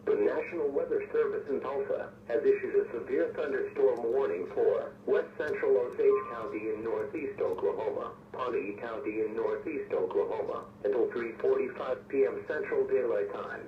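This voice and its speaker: A synthetic-sounding man's voice reading formal emergency weather bulletins in a measured, impersonal way, as an automated radio announcer. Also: medium pitch, recorded from a distance. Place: weather radio broadcast picked up on a receiver. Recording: muffled, noisy, echoey weather radio broadcast.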